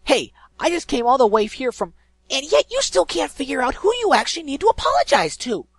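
A man voicing a brash, hot-tempered young female pegasus who shouts her lines in a fan audiobook. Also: extremely high pitch, sing-song, fairly quick, dry recording, exaggerated voice. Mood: angry. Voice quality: loud, shouting.

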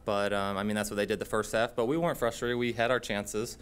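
A young man, a football player, talking calmly and matter-of-factly at a postgame press table. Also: on the low side, fast, clean tone. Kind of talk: postgame interview. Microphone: table microphone.

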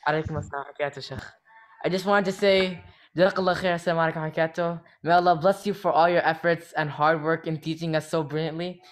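Young male student, fast and earnest, short thank-you speech over a video call. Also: fairly high pitch, fairly even intonation.

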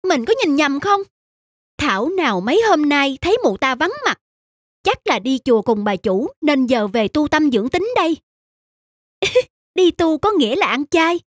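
A woman voicing a cheeky, greedy, gullible little cartoon mouse in an excitable way. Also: high-pitched, normal speed, dry recording, clean studio sound. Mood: smug, relieved. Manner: excitable, exclamatory. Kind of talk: cartoon voice acting. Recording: loud music under the voice.

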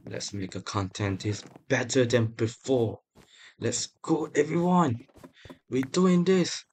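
A young man casually commenting on his own gameplay, excitable and talking to viewers, often repeating himself. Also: medium pitch, takes it slowly. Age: young adult. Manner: excitable, talks to viewers while playing, repeats phrases. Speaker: gaming channel host. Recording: loud background noise.

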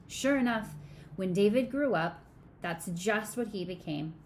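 Woman reading a children's story gently. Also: mid-range voice, animated, a little slow, a little hiss or hum. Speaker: children's sermon co-leader. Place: small home room.

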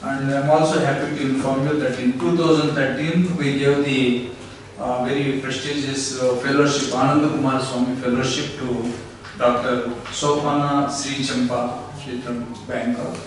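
A middle-aged man with an Indian English accent, giving a formal welcome to a visiting delegation at a conference table, speaking in a measured, halting way with many fillers. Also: mid-range voice, flat delivery, a little hiss or hum. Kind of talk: formal welcome speech at a meeting. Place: wood-panelled conference room. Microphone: table gooseneck microphone, recorded from afar. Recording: echoey distant room recording.

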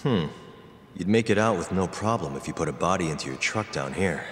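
An actor playing a calm, stubborn lawyer-detective in his thirties in a video game, terse and resolute. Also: brisk. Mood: cool, questioning. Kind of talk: video game cutscene acting. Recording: echoey hall, rumbling low noise.

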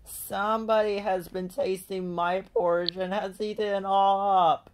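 Man playing a high, plaintive little wee bear. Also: flat delivery, a little slow, clear, resonant tone, poor audio. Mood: plaintive, upset. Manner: small, plaintive crying-out.

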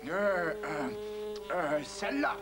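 A man's voice: sits high, big pitch swings, slow pace, full character voice. A man voicing a naive, dim cartoon boxer who speaks politely and hesitantly, bumbling along. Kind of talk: French-dubbed cartoon acting. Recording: old cartoon soundtrack with loud music.

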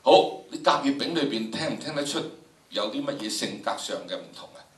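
An older man with a Hong Kong Cantonese accent, teaching a drama workshop to students in a theatrical, animated lecturing manner. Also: mid-range voice, fairly quick. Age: older, about 70s. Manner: theatrical, acting out examples. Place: large lecture hall with student audience. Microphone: handheld microphone through room speakers, recorded from the audience. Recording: echoey lecture hall, distant pickup.

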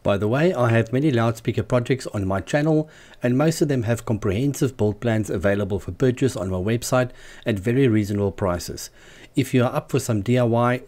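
Male tutorial narrator, lower-pitched and very mellow, measured and explanatory delivery for scripted instruction. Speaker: host of a DIY loudspeaker channel.

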